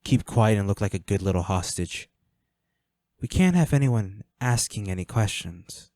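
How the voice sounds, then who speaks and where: A man's voice: on the low side, animated, moderate pace, darkish tone, dry recording, close to an everyday voice. A man playing a flirty, smug villain henchman in a roleplay audio drama, taunting a captive in a teasing, coolly controlling manner. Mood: amused, commanding.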